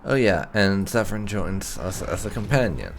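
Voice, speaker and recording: A man hosting a gaming let's play, musing aloud in a measured way as he roleplays choices. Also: sits low, very animated, vocal fry, slight background noise. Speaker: gaming channel host.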